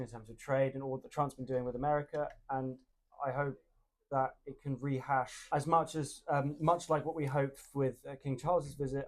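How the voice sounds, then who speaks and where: A man's voice: medium pitch, low-quality recording. Hesitant, agreeable man co-host. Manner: agreeable, hesitant, with repeated restarts. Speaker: podcast co-host. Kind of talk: casual political chat.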